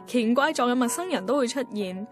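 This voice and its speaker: A woman's voice: a little high, lively intonation, quick pace, natural voice. A woman playing a wistful, introspective young working woman in a radio drama, with a Hong Kong Cantonese accent. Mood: wistful, musing. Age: young adult. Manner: introspective, musing. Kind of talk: radio drama first-person monologue. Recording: clear music bed behind voice.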